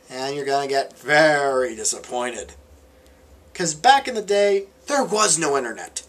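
Higher-pitched young man, casual vlogger. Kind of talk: casual opinion monologue read to camera. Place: small bedroom, indoors. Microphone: camera or webcam at arm's length.

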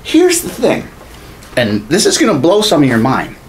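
An animated man with a fairly high voice, swearing through a sarcastic, exasperated rant as a podcast co-host. His delivery swings widely, and there is noticeable background noise in the room. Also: normal speed. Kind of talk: ranting casual chat. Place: small home studio room. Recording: noisy room, steady background ambience.

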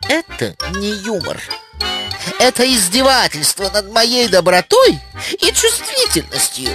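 A woman's voice: medium pitch, wildly swooping pitch, measured pace. A woman voicing a touchy, bossy middle-aged female owl in a cartoon, theatrical and lecturing. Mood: offended, scolding. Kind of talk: animated cartoon acting. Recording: music behind the voice.

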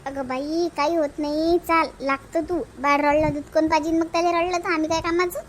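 Woman acting bossy village woman, very high voice. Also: steady tone, fairly quick, a little hiss or hum, lightly acted. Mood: reassuring, firm. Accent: Vidarbha Marathi. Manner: chiding, reassuring, emphatic. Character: bossy, caring village women of a cartoon family. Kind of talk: comedy cartoon family dialogue.

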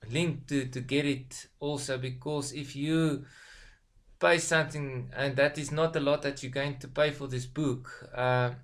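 Afrikaans-accented man, forties, at-home webcam vlog, halting delivery full of ums, mid-pitched. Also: steady tone. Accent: South African Afrikaans. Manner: halting, many ums and repeated words. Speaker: online business vlogger. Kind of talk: casual motivational talk to camera. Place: small room at home, indoors. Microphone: webcam at desk distance.

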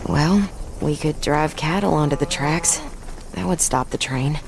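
A woman's voice: deep voice, a little slow, gravelly, noisy background. A woman acting a determined, practical young frontier woman in a video game. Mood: businesslike. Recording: music behind the voice.

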